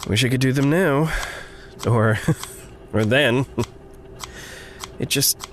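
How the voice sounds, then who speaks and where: A man's voice: medium pitch, slow, a little hiss or hum, lightly acted. A man playing an earnest, grateful, idealistic young man in a fiction podcast, speaking hesitantly. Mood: sincere, grateful. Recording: music underneath.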